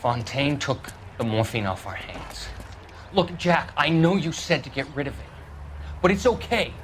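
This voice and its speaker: Game drama role: naive veteran, halting and pleading, higher-set voice, slow pace, faint noise behind. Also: male voice, expressive, fairly bright tone. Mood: pleading, defensive. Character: earnest, naive war veteran pleading with his old comrade. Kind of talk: dramatic video game acting.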